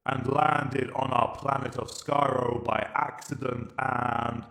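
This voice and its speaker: A man voicing a cold, slow alien machine emperor that talks in a flat, deliberate monotone. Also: medium pitch, gravelly, reverberant, full character voice.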